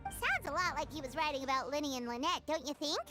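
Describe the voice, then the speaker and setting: A woman voicing a small, excitable, curious fairy companion in a video game, with a squeaky childlike voice. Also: quick pace, over loud music, lightly acted. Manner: exclamatory, speaks of herself by name.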